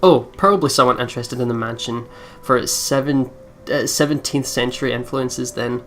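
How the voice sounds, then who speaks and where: A woman's voice: a bass voice, normal speed, a little hiss or hum. A woman hosting a let's play, casually commenting and reading the game text aloud in a chatty way.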